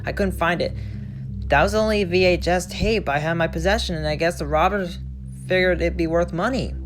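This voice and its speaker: A woman hosting a horror story series, narrating and commenting in an informal, somewhat halting way. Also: lowish voice, soft background music. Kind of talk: creepypasta narration and casual commentary.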